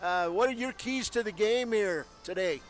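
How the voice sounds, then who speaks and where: A man's voice: high-pitched, moderate pace, faint music behind. A man hosting a radio pregame show from courtside, chatting upbeat with a guest. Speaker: radio sports broadcaster. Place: courtside in a gym.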